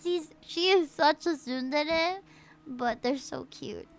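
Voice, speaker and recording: High-voiced, gushing woman streamer. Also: slow, faint music behind. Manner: gushing, expressive. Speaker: game streamer. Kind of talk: livestream reactions to a game.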